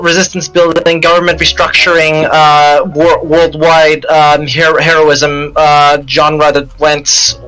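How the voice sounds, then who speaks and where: A man with a fairly high, very bright voice explains fan lore on a casual podcast. He is earnest and halting, going on at length over faint background music. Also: poor audio. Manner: halting, earnest, long-winded. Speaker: podcast co-host.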